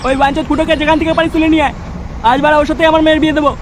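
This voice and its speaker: A man voicing an irascible, foul-mouthed parent in a comedy cartoon, scolding and bullying in a pitched-up voice. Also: level tone, very fast, crowd noise. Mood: angry, demanding. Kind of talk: exaggerated comedy cartoon acting. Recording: noisy track with street and vehicle ambience.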